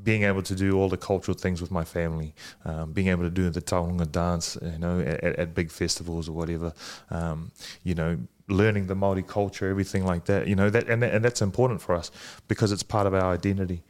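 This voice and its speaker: Deep-voiced hesitant New man. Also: fairly even intonation, fast talker, few pauses, a little mellow. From Fiji and New Zealand. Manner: hesitant, many ums and restarts. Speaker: guest. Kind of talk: casual conversation.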